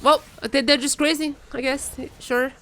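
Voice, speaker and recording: High-pitched woman esports commentator. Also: measured pace, slight background noise. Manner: excitable, chatty, rising into shouts in action. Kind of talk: live game commentary, watch party.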